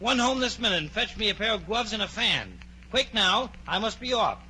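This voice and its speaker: Flustered bossy rabbit, really high piercing voice, bit of noise on it, radio drama. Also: male voice, sing-song, normal speed, pushed and bright, exaggerated voice, rough recording. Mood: bossy, hurried. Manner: fretful, flustered, peremptory. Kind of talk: radio play acting of a children's story.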